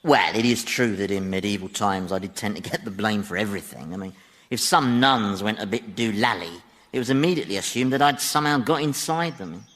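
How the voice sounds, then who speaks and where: An actor playing a sly, gleefully malicious Devil in a radio comedy, urbane and teasing, with a chuckling, mock-innocent manner. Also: male voice, lowish voice, fairly quick, a bit bright, lightly acted. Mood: gleefully amused. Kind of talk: radio sitcom acting.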